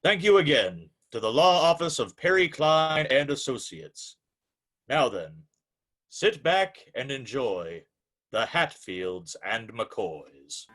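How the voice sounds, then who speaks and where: A man's voice: mid-range voice, big pitch swings, slow pace, a bit bright. A man playing a formal, courteous, easily flustered host of an old-time radio show, with theatrical delivery.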